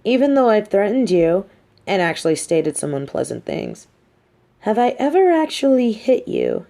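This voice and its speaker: A woman's voice: medium pitch, sing-song, moderate pace, gravelly, mellow. A woman playing a smug, sardonic but sympathetic female supervillain in an audio roleplay, speaking articulately. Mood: smug, negotiating. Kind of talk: dramatic audio roleplay monologue.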